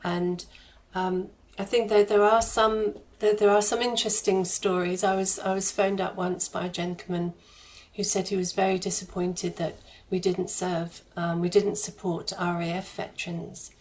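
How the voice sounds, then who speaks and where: Woman guest on a radio chat, voice on the low side, pretty flat intonation, careful hesitant answers. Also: moderate pace. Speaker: charity head of fundraising, interview guest. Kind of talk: conversational radio interview answers.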